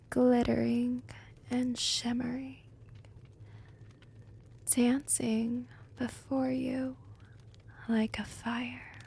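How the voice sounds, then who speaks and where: A woman playing a seductive, commanding vampire in an ASMR roleplay, breathy and whispery, coaxing and teasing in a hypnotic manner. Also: fairly high pitch, mellow, exaggerated voice. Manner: slow, hypnotic, coaxing, dominant.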